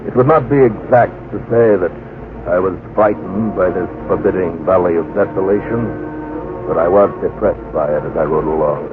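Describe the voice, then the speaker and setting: A low-voiced, grave man recounts an expedition in measured, literary phrases. It is classic radio drama narration. The sound is narrow and muffled, with music playing behind him. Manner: solemn, deliberate, literary. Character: grave male expedition surveyor recounting his own ordeal. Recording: muffled old radio recording, music underneath.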